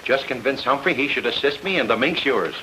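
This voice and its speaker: Bright, pushy radio detective boss. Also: male voice, mid-range voice, lively intonation, fairly quick, slight background noise, poor audio. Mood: persuasive. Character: wily, jovial, pushy missing-persons bureau boss. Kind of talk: old-time radio detective drama acting.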